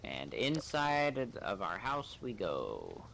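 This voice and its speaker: Slow-talking man with a swooping, animated pitch. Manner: sardonic muttering, swearing. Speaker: gamer playing a role-play game. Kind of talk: casual gameplay commentary. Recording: clear background noise, game ambience.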